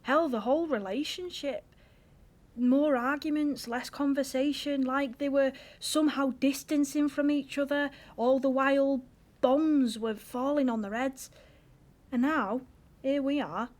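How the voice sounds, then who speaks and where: A woman's voice: high-pitched, steady tone, a little slow, a little mellow. A woman acting in an audio drama as an emotional, earnest young girlfriend with a British accent, frustrated and hurt. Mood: wistful, troubled. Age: young adult.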